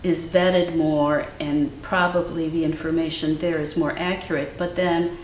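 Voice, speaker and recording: An older woman, a guest artist whose first language was Ukrainian, talking in a thoughtful, measured way with many fillers. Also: low voice, flat delivery. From Cleveland, Ohio, US. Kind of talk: casual interview conversation. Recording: echoey room from far off, faint noise.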